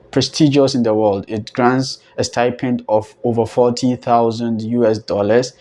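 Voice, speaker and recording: A man's voice: medium pitch, a little mellow, slight background noise. Young Ghanaian man, vlog host; polite and earnest, explains details patiently. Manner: polite, earnest, walking viewers through details step by step. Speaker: channel host.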